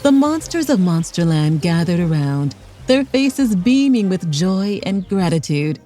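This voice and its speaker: A woman's voice: lowish voice, sing-song, measured pace, dark tone, soft background music. A woman reading a scripted children's story aloud as narrator, expressively, for young children.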